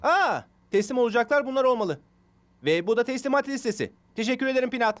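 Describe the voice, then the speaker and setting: A man's voice: very high voice, expressive, fast, a bit bright. A man playing a casual teenage delivery boy in a children's cartoon dub.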